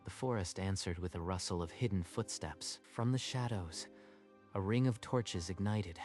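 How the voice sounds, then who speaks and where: A male narrator with a fairly low voice tells a dramatic scripted story. Music plays clearly behind his narration. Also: normal speed, a little mellow. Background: background music.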